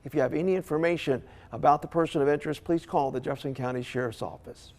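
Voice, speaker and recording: A man's voice: fairly high pitch, fast, darkish tone. An American man working as a morning TV news anchor, reading stories and doing live interviews in broadcast style.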